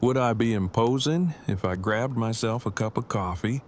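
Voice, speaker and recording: A man's voice: mid-range voice, normal speed, a little hiss or hum, close to an everyday voice. Man playing a stiffly formal husband. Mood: polite. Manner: formal, courteous. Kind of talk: fan audio drama acting.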